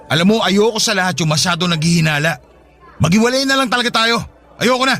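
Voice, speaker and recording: High-voiced, defensive young boyfriend. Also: male voice, quick pace, bright, forceful tone. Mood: defensive, irritated. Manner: defensive, exasperated. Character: irritable, evasive, fickle young boyfriend. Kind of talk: Tagalog radio drama acting.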